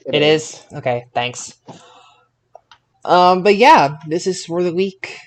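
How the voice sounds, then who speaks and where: A man hosting a casual wrestling podcast, speaking haltingly and repeating words as he searches for phrasing. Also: on the high side, expressive, slight background noise. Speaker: podcast host.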